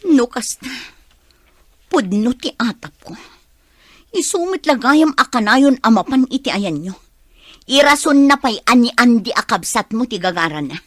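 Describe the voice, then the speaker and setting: A woman's voice: fairly high pitch, animated, unhurried, fairly bright tone, no echo, clean studio sound. A Filipino-accented woman playing a hurt, suspicious wife in an emotional, pleading radio drama style. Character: hurt, suspicious wife confronting her straying husband.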